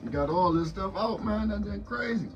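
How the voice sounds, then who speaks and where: A man's voice: high voice, lively intonation, normal speed, far from the mic, muffled recording, music in the background. A man hosting a casual podcast interview, hyped and slangy, with frequent affirmations. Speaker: podcast host.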